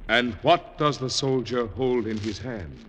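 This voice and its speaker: An actor playing a blind soothsayer, a grim prophet of death, with slow, ominous, chanting delivery in a classic radio drama. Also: male voice, mid-range voice, fairly bright tone, close to an everyday voice. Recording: reverberant echoing sound with noise.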